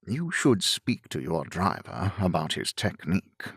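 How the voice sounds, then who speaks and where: A man voicing a wealthy gentleman in an audiobook, haughty and scornful, with a clipped, imperious delivery. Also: low-pitched, lively intonation, a little slow, creaky, no room sound, studio quality, full character voice. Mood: irritated.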